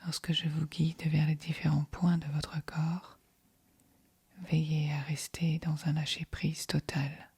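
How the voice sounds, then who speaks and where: Woman doing guided meditation, super soft whispery voice, really slow n calm, close dry sound. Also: sits low, breathy edge, dry recording. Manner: calm, soothing, measured delivery. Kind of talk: guided yoga nidra meditation.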